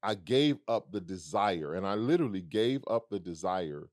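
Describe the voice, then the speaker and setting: A man with a low, deep baritone speaks in a reflective, storytelling way. He acts out remembered dialogue as he goes. The sound is close and dry, with no room around it. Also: lively intonation, normal speed, dry recording. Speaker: musician guest. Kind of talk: casual conversation.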